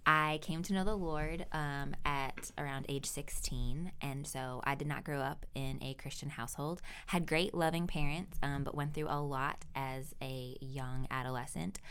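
A woman's voice: low-pitched, steady tone, normal speed, hardly any pauses, a bit bright, smooth. A woman in her thirties from Rhode Island, talking about her life in an upbeat and enthusiastic way in an interview. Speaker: children's ministry director. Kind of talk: casual interview.

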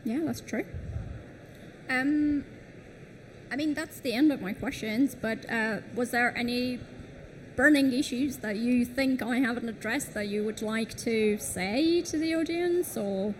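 A woman's voice: on the high side, unhurried, a little hiss or hum, low-quality recording. A woman moderating a panel discussion, giving frequent short backchannels.

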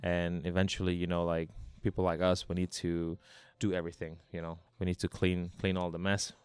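A man's voice: low voice, little pitch movement, brisk, darkish tone, clear, resonant tone, dry recording. A man from Hong Kong talking casually and telling anecdotes as a bar founder being interviewed.

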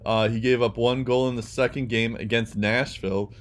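A man's voice: mid-range voice, steady tone, moderate pace. A young man co-hosting a casual sports podcast, talking hesitantly with many ums and restarts. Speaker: podcast co-host.

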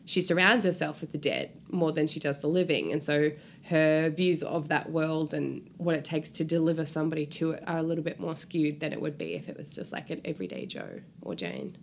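A woman's voice: low-pitched, lively intonation, a little fast, gravelly. A woman from New Zealand chatting casually as an interview guest, joking and using slang. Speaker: guest author. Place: hotel room. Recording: background noise in room.

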